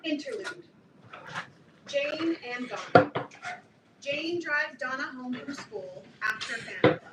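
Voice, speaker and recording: A woman's voice: high voice, animated, slow pace. A woman reading scripted stage directions at a staged play reading. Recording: roomy, distant mic, clear noise.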